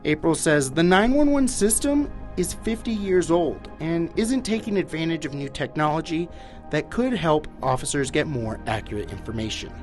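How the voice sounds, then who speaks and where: A man's voice: a little high, animated, normal speed, soft background music. An American man narrating a scripted television news report as a reporter.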